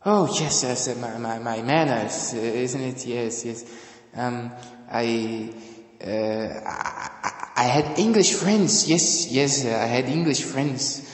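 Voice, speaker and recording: Guy doing a fussy old gent, stammers n repeats himself, slow, big echoey reverb, audio drama. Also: mid-range voice, lightly acted. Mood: flustered, deferential. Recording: echoey room with long reverb.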